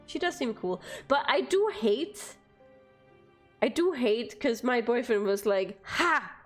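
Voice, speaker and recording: A woman's voice: on the high side, lively intonation, slow, soft background music. A young woman from Denmark with a light Danish accent, chatting casually with viewers on a live stream and laughing often between phrases.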